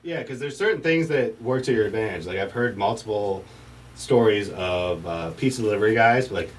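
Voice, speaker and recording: A man's voice: on the low side, moderate pace, distant mic, slight background noise, rough recording. A man co-hosting a fantasy football podcast who trades wisecracks. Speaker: podcast co-host. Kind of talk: casual sports chat.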